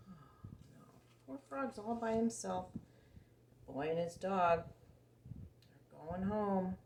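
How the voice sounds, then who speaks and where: Slow-paced woman storyteller in an echoey room. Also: mid-range voice. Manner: simple, expressive narration with questions to the listener. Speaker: storyteller reading picture books to children. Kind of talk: animated read-aloud storytelling for young children. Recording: roomy echo, faint background noise.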